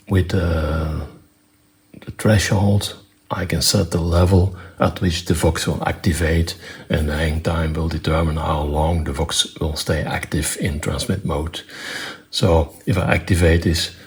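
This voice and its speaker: A man with a very low voice and a creaky edge walks through an electronics demonstration. He explains it step by step in a plain, matter-of-fact manner. His phrasing is halting and unscripted. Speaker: presenter demonstrating own electronics project.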